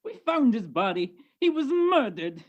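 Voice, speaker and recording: Woman acting wisecracking old police sergeant, lively pitch. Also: a little slow. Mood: accusing. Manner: tough-guy slang, needling and doubting. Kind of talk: 1940s radio detective play. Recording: muffled video-call recording.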